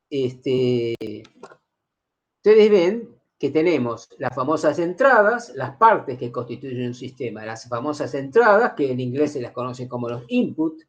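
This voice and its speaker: A middle-aged man lectures a class. His pitch rises and falls with plenty of movement, and he keeps repeating key ideas and asking the room rhetorical questions. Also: very animated, takes it slowly, pauses often, slight background noise. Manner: explanatory, repeats key phrases, asks students rhetorical questions. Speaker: university lecturer. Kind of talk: university lecture.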